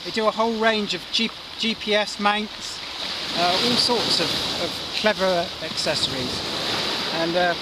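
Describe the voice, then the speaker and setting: A man's voice: high-pitched, a little slow. A middle-aged man giving a casual hands-on gear demo on a pebble beach, explaining step by step with plenty of fillers. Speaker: kayak angler reviewing gear. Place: outdoors on a pebble beach by the sea. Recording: distant mic with loud wind and surf.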